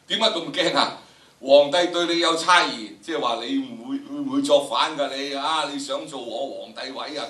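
Heard from across an echoey lecture hall, a man in his sixties gives a lecture in Hong Kong Cantonese-accented speech. His voice is bright and forceful, at an average male pitch. He performs for students, stressing points and posing rhetorical questions. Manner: theatrical, emphatic, rhetorical questions. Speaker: lecturer, drama educator. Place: large indoor lecture theatre. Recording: echoey lecture hall, distant camera microphone.

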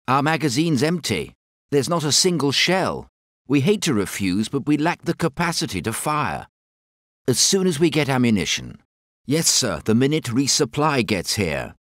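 A man's voice: mid-range voice, fairly bright tone, no room sound, clean studio sound. A man voicing a polite, deferential British artillery soldier with a British accent, reporting to his officer. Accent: British English. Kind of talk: game unit voice lines.

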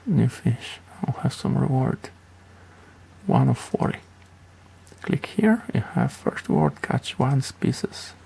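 A man's voice: medium pitch, huge pitch swings, slow pace, creaky, warm tone, husky, rough recording. A man giving a casual game tutorial in a rambling, offhand, hesitant way. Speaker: gaming video creator.

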